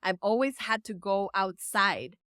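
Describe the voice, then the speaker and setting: A woman's voice: medium pitch, a little slow, bright, forceful tone, smooth, no room sound, studio quality. A woman from El Salvador whose first language is Spanish, an event producer giving an earnest, encouraging talk to camera and stretching words for emphasis. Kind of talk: direct-to-camera motivational talk.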